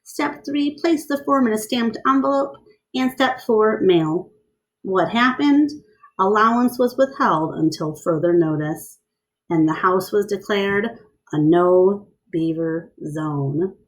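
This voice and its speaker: A woman's voice: mid-range voice, lively intonation, takes it slowly, low-quality recording. Woman reading aloud to children, instructive. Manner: instructive, asks the listener questions, enunciates steps. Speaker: teacher reading a picture book aloud. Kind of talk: children's read-aloud with explanations and questions to young listeners.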